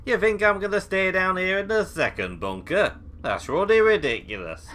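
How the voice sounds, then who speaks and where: A man acts out a gruff, grumbling survivor from a comic audio drama. He is blunt and deadpan, yet his delivery jumps widely in pitch and has a bright, forceful edge. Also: normal speed. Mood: irritated. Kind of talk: comedic audio drama acting.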